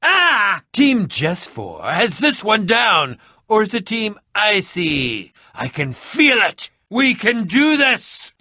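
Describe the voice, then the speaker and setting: A man's voice: sits high, huge pitch swings, slow pace, bright, forceful tone, dry recording, clean studio sound, exaggerated voice. An actor playing an eccentric, prickly male adventurer in a video game, with a pompous, melodramatic and sardonic manner. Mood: excited, frantic. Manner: theatrical, pompous, sardonic, formal wording. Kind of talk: animated video game voice acting.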